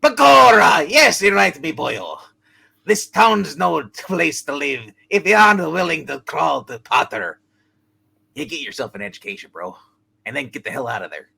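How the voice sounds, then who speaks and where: A man acts out a boozy old townsman from a comic radio play, gravelly and bright. He is hearty, folksy and theatrical, with pitch that swoops around. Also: very animated, normal speed, full character voice, low-quality recording. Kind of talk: hammed-up live radio play reading.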